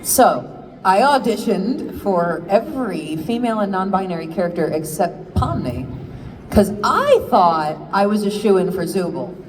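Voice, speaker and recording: A woman's voice: medium pitch, big pitch swings, measured pace. A woman voice actor on a convention panel, candid and playful. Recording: noisy convention hall with crowd.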